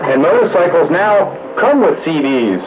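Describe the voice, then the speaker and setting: Higher-voiced man on muffled, noisy radio. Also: sing-song, a little slow. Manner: plain-spoken, matter-of-fact. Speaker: radio hobbyist in a group conversation. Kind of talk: casual radio chat.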